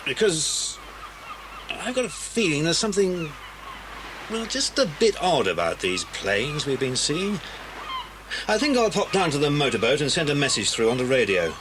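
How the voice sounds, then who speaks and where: An actor playing a calm, protective grown man who guides children in an audio drama, with a measured, avuncular, reassuring manner. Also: fairly high pitch. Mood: serious, concerned. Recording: noisy old cassette dramatisation.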